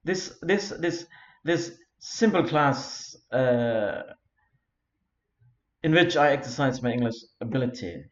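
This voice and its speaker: An Indonesian-accented man from Flores talks through an informal lecture in English, stopping often on fillers and repeating words as he searches for them. His voice sits at an ordinary pitch. The recording is dull and lo-fi, with a roomy echo. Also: lively intonation, slow pace. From Flores, eastern Indonesia. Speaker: founder of an English learning community. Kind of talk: informal self-made video lecture. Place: Europe.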